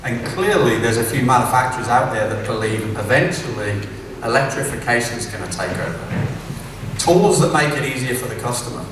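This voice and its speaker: A man's voice: medium pitch, animated, a little fast. A middle-aged man from England with an English accent, giving a conversational keynote talk at a conference and often asking "right?". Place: large conference hall. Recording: echoey distant hall recording with background noise.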